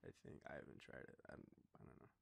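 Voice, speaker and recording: A young Australian man acting an intimate boyfriend roleplay as a shy, nervous partner, speaking softly and haltingly into a close microphone. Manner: hesitant, nervous, halting with breathy trailing phrases. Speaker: audio roleplay performer. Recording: faint background noise, ambient sound.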